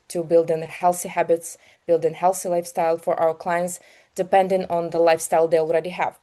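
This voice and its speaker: Casting: female interview guest with a Ukrainian accent, slightly low mellow voice, sincere and a little halting in conversation. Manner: earnest, halting phrasing in non-native English. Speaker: guest, health business founder.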